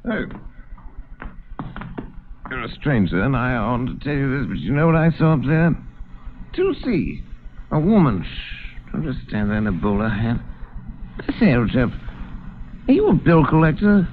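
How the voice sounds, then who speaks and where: An actor playing a drunken, rambling in an old radio drama, with a slurred, nosy manner. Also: male voice, medium pitch, very animated, normal speed, pauses often, slight background noise, lightly acted. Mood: confiding. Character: drunken upper-class Englishman, rambling and nosy. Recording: tinny lo-fi old radio recording.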